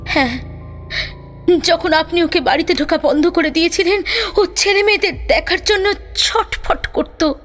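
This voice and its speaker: A woman playing a sorrowful but steadfast mistress in an audio drama, with an earnest, measured, pleading manner. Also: very high-pitched, fairly bright tone, soft background music. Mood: tearful, pleading. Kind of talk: Bengali audio drama acting.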